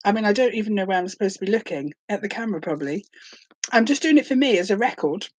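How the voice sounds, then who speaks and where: A woman's voice: mid-range voice, animated, fast, no room sound. Chatty woman in her late forties with a cold. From England, Hereford. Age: late 40s. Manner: rambling, self-deprecating, chatty. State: full of cold, sore throat. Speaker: vlogger. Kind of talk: casual chatty vlog.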